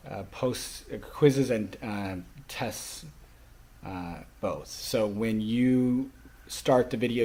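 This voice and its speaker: A man speaking as a college instructor, explaining course rules to a class in an instructional way with frequent short pauses. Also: mid-range voice, a little mellow. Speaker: college sign language instructor.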